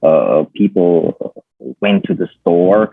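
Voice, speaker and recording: A German-accented man with a very low voice talks hesitantly in a casual chat, pausing and restarting often. His voice is husky and comes through a tinny, dry phone-like line. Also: no room sound. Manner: hesitant, many fillers and restarts. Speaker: guest.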